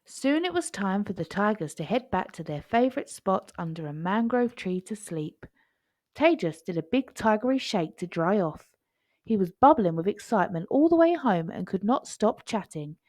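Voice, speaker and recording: Animated woman reading a children's story. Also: mid-range voice, dry recording. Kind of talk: expressive scripted storybook narration.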